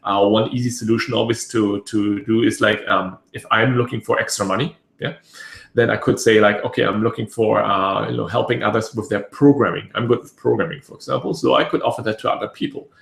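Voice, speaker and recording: A man with a raspy voice hosting a casual webinar, rambling with many fillers and restarts. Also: fairly low pitch, steady tone, fairly quick. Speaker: webinar host. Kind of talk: casual live webinar talk. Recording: echoey room.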